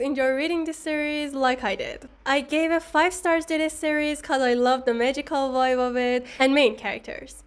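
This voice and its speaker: A young woman whose first language is Persian tells the story of her own vlog in English. Her voice sits a little high, and she speaks in an earnest, chatty way, sometimes stopping to correct a word. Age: young adult. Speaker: vlogger. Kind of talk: reflective vlog narration with old clip inserts.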